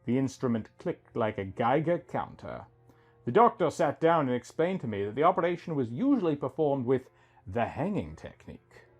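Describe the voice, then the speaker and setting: A man's voice: medium pitch, very animated, normal speed, slightly rough. A man reading a scripted first-person story as its narrator, with music behind him.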